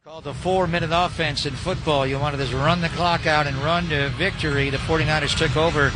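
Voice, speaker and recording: A male play-by-play announcer calls football live on the radio. His voice is fairly high, and clear stadium noise sits behind him. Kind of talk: live radio sports commentary.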